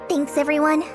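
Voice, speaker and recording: A woman's voice: sits high, heavily acted. A woman voicing an earnest, modest young girl forest ranger trainee, polite and slightly hesitant, in a video game. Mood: relieved. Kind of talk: animated video game voice acting.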